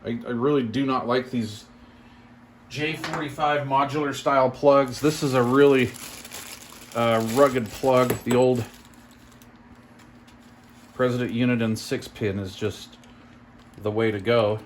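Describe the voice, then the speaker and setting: Man, medium pitch, casual unboxing commentary, impressed mild exclamations, noticeable ambient noise behind the voice. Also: steady tone, unhurried, long pauses. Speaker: product reviewer. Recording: clear background noise and room ambience.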